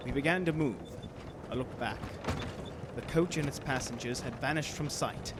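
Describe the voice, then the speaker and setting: A man's voice: mid-range voice, moderate pace, heavy background noise, lightly acted. Male actor as earnest young clerk, formal and intense, medium register, telling a gothic tale over heavy sound effects. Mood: tense. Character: earnest young English lawyer's clerk narrating his journal. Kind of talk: dramatic first-person radio narration. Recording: loud storm and carriage effects behind.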